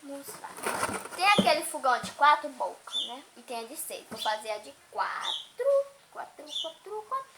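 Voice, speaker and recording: A woman's voice: high-pitched, very animated, moderate pace. A young girl casually talking viewers through a cardboard craft project, chatting to them as she cuts and draws. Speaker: crafts vlogger. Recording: noisy home recording.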